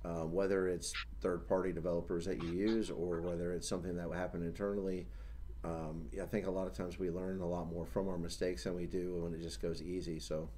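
A man's voice: sits low, flat delivery, a little fast, warm tone, slight background noise. A man hosting a casual talk show, chatting and commenting in a panel discussion from a studio. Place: studio with foam acoustic panels.

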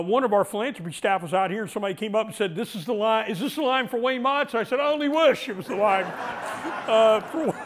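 Loud, energetic man lecturing. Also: sits high. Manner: loud, energetic, gestures broadly while talking. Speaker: foundation president giving a lecture. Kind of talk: public lecture. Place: indoor lecture room with audience. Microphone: handheld microphone.

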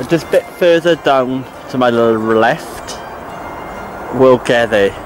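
A man in his twenties with a South Wales accent, chatting informally and thinking aloud as he films a walking vlog. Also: medium pitch. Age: 20s. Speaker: hiking vlogger. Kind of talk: casual vlog commentary while walking. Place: outdoors on a woodland hiking trail. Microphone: handheld camera at arm's length. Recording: outdoor walking vlog with music behind. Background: music.

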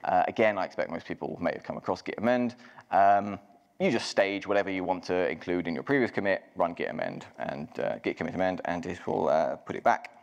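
A man's voice: low-pitched, brisk, gravelly, muffled recording. A man giving a live technical walkthrough at a conference, casual, with many ums and restarts. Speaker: conference speaker. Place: large conference hall. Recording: long hall reverb.

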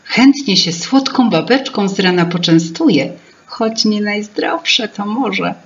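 A gracious, cheerful sister from a children's fairy tale , acted out by a woman. She speaks eloquently and slowly, with charming melodic swings. The recording sounds slightly muffled. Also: mid-range voice, animated, pitched above the performer's own voice. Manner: elegant, drawn-out, charming. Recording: dull lo-fi home recording.

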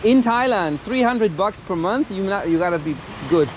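A man's voice: sits high, lively intonation, moderate pace. A man from Germany in his forties doing casual street interviews, outgoing and free with swearing. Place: outdoors by a beach. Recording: noisy outdoor recording.

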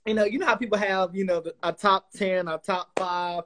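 A man's voice: sits high, fairly even intonation, brisk, fairly bright tone. A young man hosting a casual interview over a video call, effusive and full of fillers and restarts. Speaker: podcast host. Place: small room indoors.

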